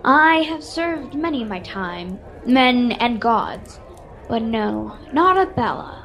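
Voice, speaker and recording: A mysterious adviser in a radio fantasy serial, acted by a woman, speaks in a high voice. She is courteous and measured, choosing formal words as a knowing patron would. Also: very animated, a bit bright, heavily acted. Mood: cryptic. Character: mysterious cloaked woman, well-spoken adviser and quest-giving patron. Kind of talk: radio-serial adventure acting. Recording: home video-call recording.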